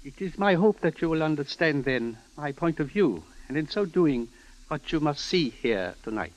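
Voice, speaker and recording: An actor playing a calm, enigmatic elderly Chinese doctor in old-time radio drama, speaking in measured, formal, inverted sentences. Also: male voice, a little high, natural voice, poor audio. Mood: serene, formal.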